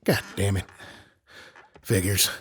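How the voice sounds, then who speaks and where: A man's voice: low voice, steady tone, takes it slowly, a bit bright, husky, heavily acted. A man playing a brusque, impatient, weary special agent in an audio drama, curt and skeptical. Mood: curt, irritated.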